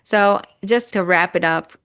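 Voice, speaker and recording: A woman's voice: fairly low pitch, unhurried, a bit bright, clear, resonant tone, no room sound. A woman podcast host and marriage coach, speaking earnestly and encouragingly over a video call. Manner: earnest, encouraging, repetitive exhortations. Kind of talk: reflective coaching talk.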